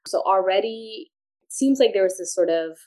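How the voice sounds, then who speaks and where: A woman from Pakistan in her thirties, a professor answering interview questions in a measured, articulate way. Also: mid-range voice, lively intonation, darkish tone, rough recording. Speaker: guest, English professor. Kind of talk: academic interview.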